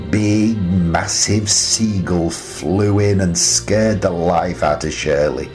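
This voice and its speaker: Actor as a slow, low-voiced old British grandfather. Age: older. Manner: slow, rambling, reflective, with hesitant pauses. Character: gentle, wistful, eccentric old grandfather living in a playhouse. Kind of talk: radio play monologue.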